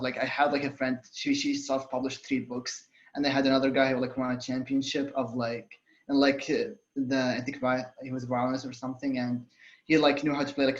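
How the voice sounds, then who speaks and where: Young man, from Saudi Arabia, college panelist, halting speech, muffled, roomy sound. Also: mid-range voice. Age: young adult. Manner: halting, many fillers and restarts. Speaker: student panelist. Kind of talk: casual panel talk on a video call.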